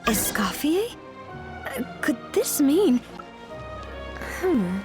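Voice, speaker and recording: An actress voicing a friendly, easygoing young woman in a video game, speaking in a chatty, expressive, reassuring manner. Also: high voice, extremely slow, full character voice. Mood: pondering. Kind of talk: English video game voice acting. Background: game music.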